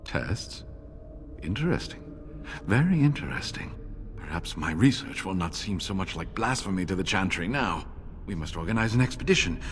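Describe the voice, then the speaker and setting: An actor playing an earnest, devout scholar in a video game, speaking in a halting, eager manner. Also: male voice, fairly low pitch, a little hiss or hum. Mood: intrigued, eager.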